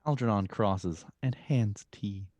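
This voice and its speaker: Lowish-voiced man reading stage directions. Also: takes it slowly, a little mellow, no echo, dull audio. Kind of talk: scripted narration.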